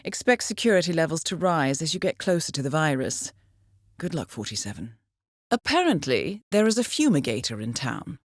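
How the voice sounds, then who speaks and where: An actress playing a coolly professional handler who briefs an assassin in a video game, speaking in a composed, formal with dry understatement. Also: female voice, low voice, sing-song, moderate pace, no echo. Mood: calm briefing.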